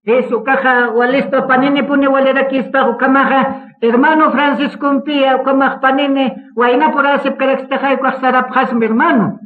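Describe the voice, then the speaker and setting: A man's voice: very high-pitched, steady tone, moderate pace. A man acting a village church brother in a radio drama, with a Bolivian Aymara accent. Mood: earnest. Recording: tinny, echoey narrow band.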